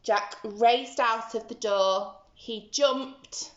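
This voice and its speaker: A woman's voice: a little high, very slow, reverberant, highs cut off. A woman teacher reading a story to young children, expressively and with dramatic emphasis.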